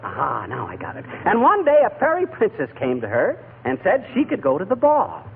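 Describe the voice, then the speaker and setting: A man's voice: sits high, huge pitch swings, a little fast, gravelly, exaggerated voice. An actor playing a blustery, flustered but good-hearted everyman husband in 1940s radio comedy, folksy and given to stammering. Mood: storytelling, flustered. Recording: muffled, noisy 1940s radio recording.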